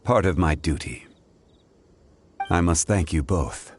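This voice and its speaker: A man voicing an ancient, wise god in human form for a video game, speaking in a formal, measured and composed manner. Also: low voice, lively intonation, slight background noise. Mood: calm, apologetic. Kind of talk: video game voice acting, dramatic dialogue.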